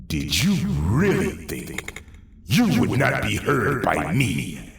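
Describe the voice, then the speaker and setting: Echoing, gravelly dark villain. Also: male voice, low voice, huge pitch swings, full character voice. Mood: menacing. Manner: slow, grandiose threats. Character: ancient menacing creature of darkness, contemptuous villain. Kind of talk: audio drama acting. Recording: distant, cavernous echo with noise.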